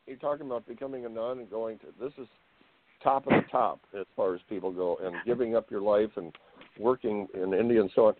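A man hosting a radio talk show, rambling and jokey as he interviews a guest. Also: medium pitch. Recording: tinny phone line.

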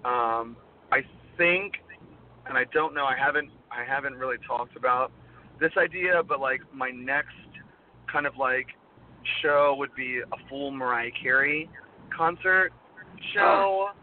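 Man with a worn-down low voice. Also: a little slow. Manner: rambling, heavy use of "like". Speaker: guest performer. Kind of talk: casual interview chat. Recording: tinny phone-like line, faint noise.

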